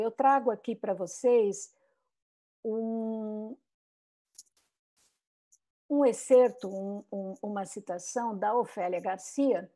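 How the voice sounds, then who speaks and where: A woman's voice: mid-range voice, dark tone. A woman giving an online talk on bilingual education, reflective and full of rhetorical questions and tag questions. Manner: frequent "né?" tags and restarts.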